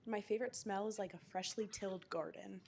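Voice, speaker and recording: A woman's voice: mid-range voice, fairly even intonation, fast talker, gravelly, darkish tone, a little hiss or hum. A young woman from Kansas, a graduate business student, introducing herself simply.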